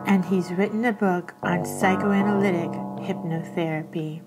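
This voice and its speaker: A woman hosting a podcast who reads a measured scripted introduction and spells out web addresses letter by letter. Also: lowish voice, fairly even intonation. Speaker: podcast host. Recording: guitar music under the voice.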